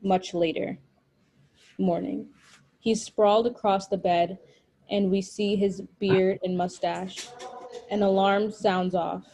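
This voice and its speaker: Mellow-voiced woman reading stage directions. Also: mid-range voice, steady tone, slow, long pauses. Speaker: script narrator at a table read.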